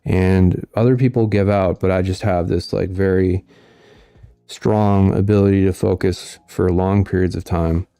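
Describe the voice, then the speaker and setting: Low gravelly monotone man. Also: unhurried, dry recording, studio quality. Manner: monotone delivery. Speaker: host. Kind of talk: casual commentary reading viewer comments.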